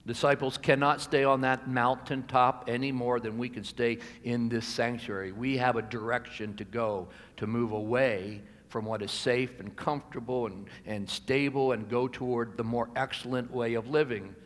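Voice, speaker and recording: A man's voice: mid-range voice, steady tone, normal speed, hardly any pauses, a bit bright. American man preaching in a reverberant hall. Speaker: pastor preaching. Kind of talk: sermon. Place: church sanctuary at a pulpit. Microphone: gooseneck pulpit microphone. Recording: reverberant hall, amplified pulpit sound.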